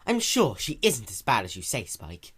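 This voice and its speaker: A man voicing a prim, ladylike female pony who lectures gently, in a dramatic story reading. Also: mid-range voice, huge pitch swings, moderate pace, husky, full character voice. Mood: reassuring.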